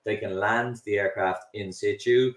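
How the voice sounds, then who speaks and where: Irish man, middle-aged, deep voice, video call guest, repeats words, roomy distant sound. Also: steady tone. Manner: fast explanatory talk with many restarts and repeated words. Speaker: drone delivery company founder, guest. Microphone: webcam and computer mic on a video call.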